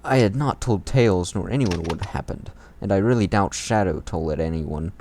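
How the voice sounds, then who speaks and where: A man's voice: low-pitched, moderate pace, a little mellow, slight background noise. A man reading fan fiction as an amateur, stumbling over words and breaking into casual commentary.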